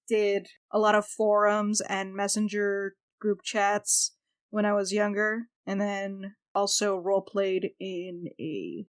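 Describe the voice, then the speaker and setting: Woman podcast panelist, medium pitch. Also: little pitch movement, slow, clear, resonant tone. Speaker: podcast panelist, role-player. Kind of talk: casual chat.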